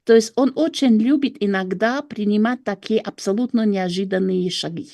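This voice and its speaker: A woman's voice: mid-range voice, normal speed, gravelly, warm tone, no echo, studio quality. A woman from France with a French accent gives political analysis as an interview guest, searching for words and correcting herself. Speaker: political commentator and writer.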